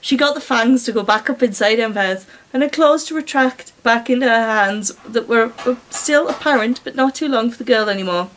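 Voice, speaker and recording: High-voiced British woman, giggly and sarcastic. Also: normal speed, few pauses, fairly bright tone, slight background noise, low-quality recording. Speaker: reader and commentator. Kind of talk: amateur story reading with mocking asides.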